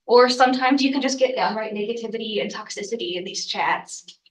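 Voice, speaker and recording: A woman's voice: on the high side, fairly quick, recorded from a distance, a little hiss or hum. Young woman with a cold giving a class presentation, kinda stuffy, lots of ums, echoey room from a bit away. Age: young adult. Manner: earnest, with frequent ums and uhs. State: congested from a cold. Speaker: student presenter. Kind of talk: academic research presentation. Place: lecture room.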